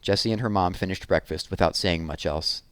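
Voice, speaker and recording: Lowish-voiced man narrating a children's book. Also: a little fast, clean tone, dry recording. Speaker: read-aloud narrator.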